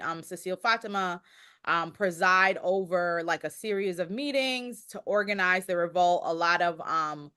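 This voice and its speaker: Female podcast guest, slightly low voice, conversational history explainer who rephrases and circles back. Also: pushed and bright.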